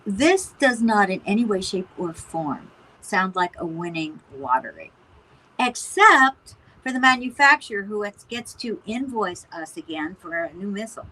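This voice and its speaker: Woman acting a sharp-voiced, sardonic, frazzled mother. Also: medium pitch, animated, moderate pace, poor audio. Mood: sardonic, indignant. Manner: dry, sarcastic, exasperated. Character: frazzled, sardonic mother of a grown military son. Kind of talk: naturalistic stage-play dialogue.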